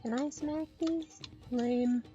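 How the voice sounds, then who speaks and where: A woman's voice: fairly high pitch, slow, mellow, muffled recording, faint music behind. A woman giving casual, offhand live commentary on a game she is playing, close to the mic. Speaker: game streamer.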